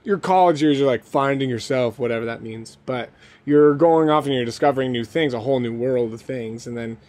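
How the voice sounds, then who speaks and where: Man, American accent, interview host, mid-range pitch, chatty and effusive, supportive, casual phrasing. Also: a little fast, a little mellow. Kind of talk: casual chat.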